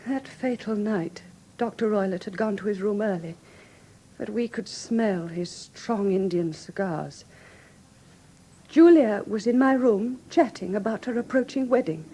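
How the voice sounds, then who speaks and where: A woman's voice: medium pitch, measured pace, mellow, breathy edge. An actress playing a refined, anxious young woman in a classic radio drama, with a Received Pronunciation accent and genteel, earnest, precise diction. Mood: composed. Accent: English Received Pronunciation. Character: refined, anxious young woman recounting her sister's death.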